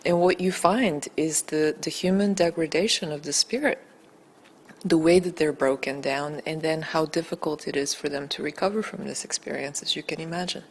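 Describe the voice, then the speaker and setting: A native Bulgarian woman answering interview questions as a documentary director, earnest, deliberate and emphatic. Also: deep voice, fairly even intonation, slightly rough.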